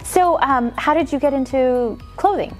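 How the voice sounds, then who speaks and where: A woman's voice: sits high, moderate pace. Woman hosting a chat show, upbeat and encouraging, faint music behind. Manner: upbeat, encouraging, frequent laughs and yeah affirmations. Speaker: talk show host. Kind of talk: casual interview. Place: Dallas.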